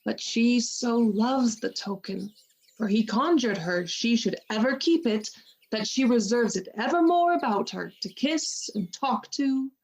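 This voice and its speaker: An actress playing a dutiful, wry wife, musing deliberately in Shakespearean verse for a radio play. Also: female voice, a little high, lively intonation, rough recording.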